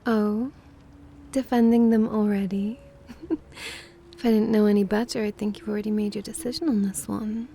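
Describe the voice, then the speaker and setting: A woman's voice: mid-range voice, steady tone, normal speed, long pauses, mellow, slight background noise, natural voice. A woman playing a playful, condescending vampire mistress who looks young, drawling languidly in an ASMR roleplay. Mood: teasing.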